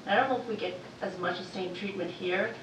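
A woman from Canada speaking up from the audience at a panel discussion, with a loud, lively, talkative delivery. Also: mid-range voice, fast talker, background noise. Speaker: audience member. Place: university lecture room. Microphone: room mic from a distance. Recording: echoey hall from far off.

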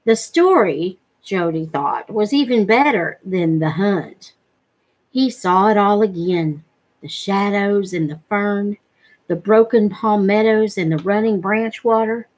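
A middle-aged woman reading a novel aloud in a measured, expressive storytelling manner. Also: mid-range voice, low-quality recording. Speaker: reader. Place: living room at home. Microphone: webcam at desk distance.

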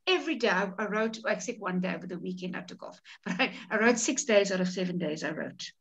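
A woman's voice: medium pitch, lively intonation, fast talker, gravelly. A woman from South Africa with a South African accent, whose first language is Afrikaans, talking casually as an author in a workshop over a video call, with many restarts and repeated words. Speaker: author leading a writing workshop. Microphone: video call webcam.

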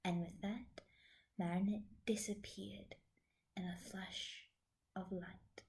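A woman with a soft, breathy voice reading scripted story narration. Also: mid-range voice, little pitch movement, takes it slowly.

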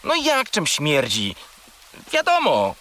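A childlike, greedy furry creature in a children's radio play. It is plaintive and melodramatic, with a forceful, bright tone and wildly swinging pitch. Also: male voice, huge pitch swings, slow, a little hiss or hum, exaggerated voice. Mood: matter-of-fact. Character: small furry toy companion, whiny, greedy, dramatic, childlike. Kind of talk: children's audio drama acting.

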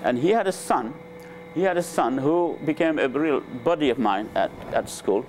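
An older man from Nepal, a native Nepali speaker, recounting his life in a relaxed, hesitant way with many fillers, on a lapel mic in a lecture room. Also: fairly high pitch, normal speed, slight background noise, low-quality recording. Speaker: interviewed scientist. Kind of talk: relaxed conversational storytelling. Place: lecture room with a small audience.

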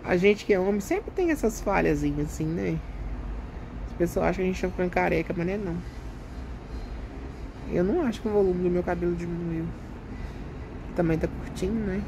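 A middle-aged Brazilian man with a high, creaky voice, chatting casually and expressively in a home vlog. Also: fairly quick, pauses often, noisy background. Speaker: home vlogger.

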